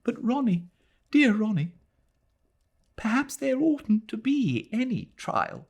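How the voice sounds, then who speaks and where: A man's voice: very animated, slow, darkish tone, slightly rough, exaggerated voice. Man doing a pleading, distraught young woman. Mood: anguished pleading. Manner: high, pleading and breathless. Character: young Englishwoman, distraught and remorseful.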